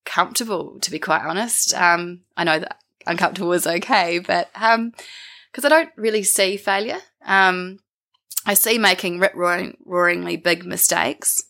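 A young woman with a New Zealand accent and a croaky voice from a winter bug, answering thoughtfully as an interview guest, searching for her words. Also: on the low side, normal speed, pushed and bright. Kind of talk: reflective interview conversation.

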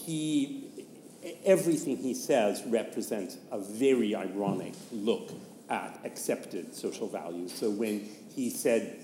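A man giving informal scholarly commentary in a halting, wry way. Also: on the low side, mellow. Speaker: panelist, literary commentator. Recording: reverberant hall, faint noise.

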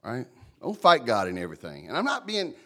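A pastor delivers a sermon in a big, booming voice. He swings from loud emphatic lines to hushed, warm asides. His tone stays conversational, and he addresses congregants directly by name. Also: brisk. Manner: lively, conversational preaching, calls out congregants by name. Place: church.

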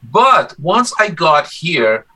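A man from Brazil, Portuguese his first language, talks in English with a bright, clear tone. He is relaxed and chatty, often restarting his sentences, and laughs easily as he tells his story in a casual interview. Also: a little high, slow, low-quality recording. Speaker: interview guest, musician.

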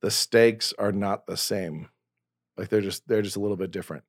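Low-voiced rambling man in his forties. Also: fairly even intonation, normal speed, dry recording, clean studio sound. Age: 40s. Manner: rambling, restarts often, earnest. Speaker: podcast host and novelist. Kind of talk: casual conversation.

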